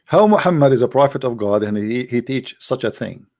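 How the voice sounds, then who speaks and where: A man's voice: mid-range voice, expressive, fairly quick, darkish tone. Sarcastic man arguing in broken English. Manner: argumentative, sarcastic, rhetorical questions, non-native grammar. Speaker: religious debater. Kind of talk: polemical monologue.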